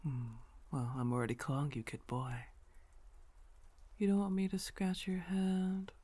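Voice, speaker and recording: A woman playing a dominant, indulgent, coolly amused girlfriend in an intimate, partly whispered ASMR roleplay, coaxing and teasing with a husky, breathy voice. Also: fairly low pitch, little pitch movement. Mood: teasing, probing. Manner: slow, coaxing, teasing questions with soft chuckles.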